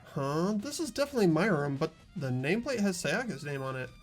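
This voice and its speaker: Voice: medium pitch, big pitch swings, brisk, faint music behind, lightly acted. A player voicing an anxious, earnest teenage schoolboy detective who wonders aloud in a visual novel game. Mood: puzzled, earnest.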